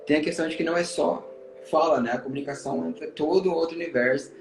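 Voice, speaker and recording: Brazilian male English teacher, upbeat encouraging explainer, talks fast, echoey room sound. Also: fairly high pitch, over music. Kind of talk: casual conversation giving advice. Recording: roomy echo.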